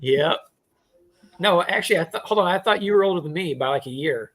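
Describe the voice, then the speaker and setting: American man in his forties, higher-pitched voice. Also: animated, a little fast, a bit bright. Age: 40s. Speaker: panelist on a beekeeping live chat. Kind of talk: casual group chat, joking.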